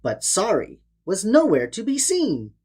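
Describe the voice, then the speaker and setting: A woman's voice: mid-range voice, huge pitch swings, measured pace, mellow, breathy edge. A woman reading a children's storybook aloud in a dramatic, theatrical way. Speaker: storybook narrator. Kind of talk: expressive children's read-aloud narration.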